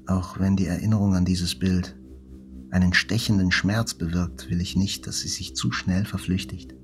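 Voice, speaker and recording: An actor playing a wounded journalist who recalls an attack in a measured, reflective, scripted narration. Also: male voice, deep voice, level tone, close to an everyday voice. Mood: sober, reflective. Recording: prominent music under the narration.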